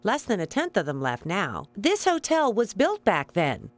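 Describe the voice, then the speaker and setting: Sing-song, fussy old innkeeper. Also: female voice, mid-range voice, wildly swooping pitch, normal speed. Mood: hospitable. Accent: American. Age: older. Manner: genteel, chatty, fluttery. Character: kindly, fussy elderly widowed female innkeeper. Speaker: video game character. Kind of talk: scripted video game voice acting.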